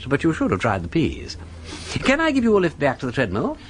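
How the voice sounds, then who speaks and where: An actor playing a breezy, witty gentleman sleuth in a radio drama, with an upper-class English accent and a suave, facetious drawl. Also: a little high, wildly swooping pitch, a little fast, a little hiss or hum. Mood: mock-friendly. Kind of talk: BBC radio drama acting.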